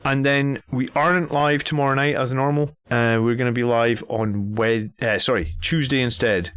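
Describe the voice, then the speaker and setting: A man's voice: medium pitch, fairly bright tone, clean tone, dry recording. A man hosting a live stream in an easygoing, chatty way. He greets viewers one by one and talks with plenty of ums. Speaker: host of a live army list stream. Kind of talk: casual live-stream chat.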